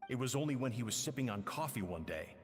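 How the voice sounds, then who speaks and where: An actor playing a regretful, grieving man in a video game, narrating in a solemn, wistful, measured way. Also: medium pitch, animated, close to an everyday voice. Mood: solemn grief. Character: regretful man, a pastry chef grieving his estranged friend. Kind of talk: dramatic game monologue, letter narration. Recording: long reverb with music underneath.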